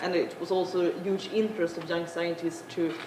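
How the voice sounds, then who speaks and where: Young woman giving a halting panel talk. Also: fairly low pitch, little pitch movement. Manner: halting, many filler sounds. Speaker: panel speaker. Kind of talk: conference talk. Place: large conference room. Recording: echoey conference room, noisy.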